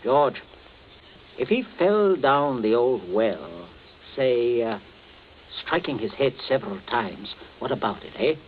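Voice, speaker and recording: A man's voice: medium pitch, big pitch swings, takes it slowly, slight background noise. A stern domineering father. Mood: scolding. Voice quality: stern, commanding. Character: stern middle-aged English father, domineering. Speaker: family man. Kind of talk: 1940s radio acting. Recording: lo-fi old radio broadcast.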